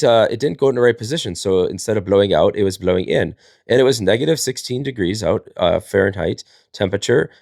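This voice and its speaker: Low-voiced guy telling rambling stories. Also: darkish tone, dry recording, clean studio sound. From Wisconsin, United States. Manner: rambling, folksy, jokey mock-innocent. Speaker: livestream host. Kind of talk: casual comedic storytelling.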